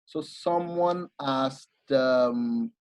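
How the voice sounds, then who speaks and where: A man giving an informal masterclass talk, animated and explanatory, often restarting his sentences. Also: medium pitch, drawn-out words. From Nigeria. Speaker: masterclass speaker.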